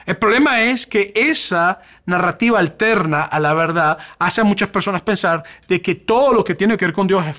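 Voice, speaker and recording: A man with a Venezuelan Spanish accent preaches from the pulpit. His voice sits a bit high for a man, and he builds emphasis through repeated rhetorical questions. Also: fairly bright tone. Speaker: pastor. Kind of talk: sermon.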